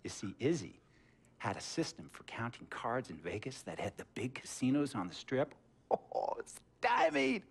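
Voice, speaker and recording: A chatty rich tech mogul. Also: male voice, medium pitch, huge pitch swings, normal speed, vocal fry, husky, heavily acted. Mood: storytelling. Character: rich middle-aged male tech mogul, smooth and talkative. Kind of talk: video game cutscene dialogue.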